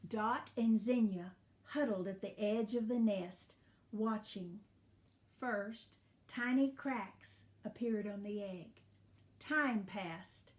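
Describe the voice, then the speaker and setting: A woman storyteller reading expressively for children in a deliberate, teacherly manner. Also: medium pitch.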